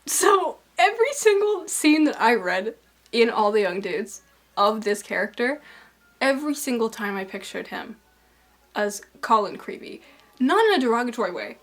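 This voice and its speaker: A young woman rambling through a casual video essay, self-deprecating, her voice a little raspy and tired. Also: fairly high pitch, sing-song, moderate pace, fairly bright tone.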